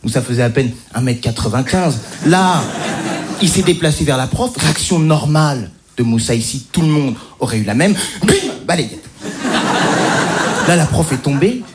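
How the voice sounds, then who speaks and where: A man from the French suburbs doing stand-up, his pitch leaping up and down as he acts out every character. He stalls on fillers before landing each line. Noise sits clearly under the recording. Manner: animated storytelling with mock voices and hesitant fillers. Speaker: stand-up comedian. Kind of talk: stand-up routine.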